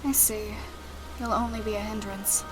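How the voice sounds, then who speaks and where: Audio drama role, female actor: cool, detached girl detective, mid-pitched, terse and composed, heavy music bed. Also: flat delivery, normal speed, lightly acted, poor audio. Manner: terse, composed, matter-of-fact. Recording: loud music over the voice. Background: background music.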